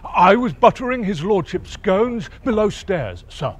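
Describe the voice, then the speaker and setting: A man's voice: high voice, very animated, measured pace, breathy edge, heavily acted. An older man playing a stiff, deferential butler in a comic murder mystery, formal and theatrical.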